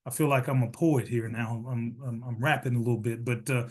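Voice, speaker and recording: A middle-aged man answering as a panelist, animated and joking, often restarting his sentences. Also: medium pitch, a little fast. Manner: animated, many restarts, self-deprecating humor. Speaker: panelist, retired captain and nurse leader.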